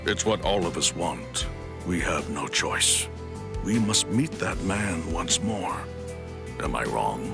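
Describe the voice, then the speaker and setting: An actor playing an honorable, loyal warrior captain in an anime game, speaking in a grave, formal, earnest and sorrowful way. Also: male voice, low-pitched, lively intonation, slow, vocal fry, over loud music, heavily acted. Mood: solemn, firm. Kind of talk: scripted anime game cutscene dialogue.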